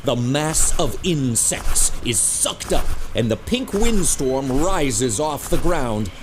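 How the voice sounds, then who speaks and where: A man's voice: mid-range voice, big pitch swings, slow pace, noisy background. A man narrating a superhero audio drama in a dramatic, scripted style.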